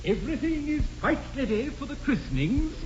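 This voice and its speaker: A man's voice: extremely high pitch, very animated, fairly quick, faint music behind. A man playing a prim, courteous country clergyman with an English accent and a formal, deferential manner in a radio comedy. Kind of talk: 1940s radio comedy acting. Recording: dull, noisy 1940s radio broadcast.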